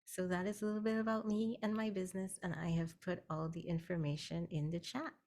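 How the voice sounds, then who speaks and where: A woman's voice: on the low side, flat delivery, a little fast, a little mellow. A woman from Guyana, a health educator, explaining her business in a plain-spoken way as a guest on a video call. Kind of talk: virtual networking meeting talk.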